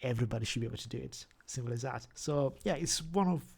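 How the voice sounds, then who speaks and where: A man's voice: medium pitch, creaky, darkish tone, husky. A young man with a thick Zimbabwean accent who talks through a casual screen-share tutorial, stumbling and repeating words as he goes. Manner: halting, many repeated words and restarts. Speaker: YouTuber.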